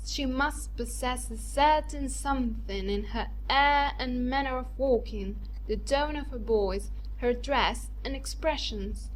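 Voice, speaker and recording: Woman acting a high, drawling haughty society lady. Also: slight background noise, rough recording. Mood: affected, emphatic. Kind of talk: dramatized audiobook acting.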